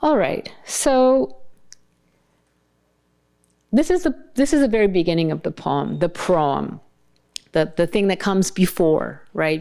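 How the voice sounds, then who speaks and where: A woman whose first language is Bengali, giving an academic lecture with slides and often pausing to search for her words. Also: fairly low pitch, a little mellow, smooth. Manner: thoughtful, searching for words mid-sentence. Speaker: translator giving a keynote talk.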